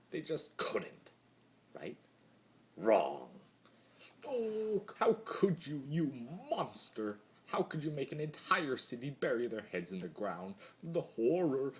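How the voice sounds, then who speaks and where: Guy doing a bitter sarcastic cartoon chaos creature, lowish voice, swings all over the place, super theatrical. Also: fairly bright tone, heavily acted. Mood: mocking, sarcastic. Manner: theatrical, sarcastic, swings between mutters and mocking outbursts. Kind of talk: dramatic monologue reading of fan fiction.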